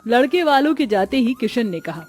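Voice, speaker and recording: A woman's voice: sits high, expressive, normal speed, a little mellow. A woman giving scripted narration for a Hindi cartoon moral story.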